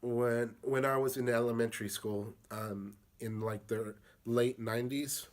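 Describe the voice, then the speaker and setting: A young man from the United States answering interview questions in a halting, casual way, with many fillers and restarts. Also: mid-range voice, steady tone.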